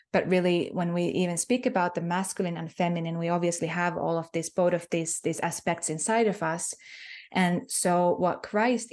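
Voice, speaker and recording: A woman's voice: on the low side, a little mellow. A Finnish-accented woman, a guest on an interview, speaking earnestly about spiritual ideas and repeating herself with many fillers. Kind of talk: spiritual talk.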